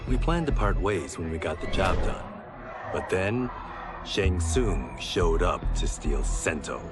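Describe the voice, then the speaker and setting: A man's voice: deep voice, very animated, unhurried. An actor voicing a reformed gangster swordsman, narrating his story for a video game in a wry, understated way. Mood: wry, reflective. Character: reformed gangster swordsman turned government agent. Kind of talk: scripted game ending narration. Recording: loud music behind. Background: music.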